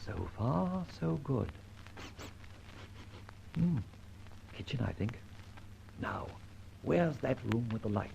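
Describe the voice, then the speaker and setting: An actor playing a jaunty, cheeky gentleman rogue with theatrical delivery in an old-time radio serial. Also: medium pitch, lively intonation, slow, vocal fry, pauses often, highs cut off, background noise, heavily acted. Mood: stealthy muttering. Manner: theatrical, breezy, muttering asides to himself.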